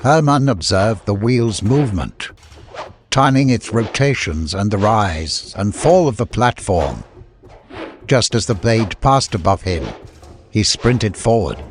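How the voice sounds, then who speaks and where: Lower-pitched man narrating a dramatic story. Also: a little hiss or hum.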